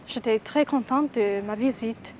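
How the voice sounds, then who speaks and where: Woman, from California, highish pitch, relaxed, dull old radio archive, faint hiss. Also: unhurried. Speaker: student. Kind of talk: archival radio interview answers.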